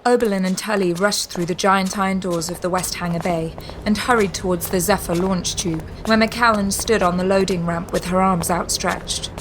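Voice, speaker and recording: A woman giving measured, scripted dramatic narration for a sci-fi audio drama. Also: medium pitch, steady tone, noisy background. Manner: measured, descriptive storytelling.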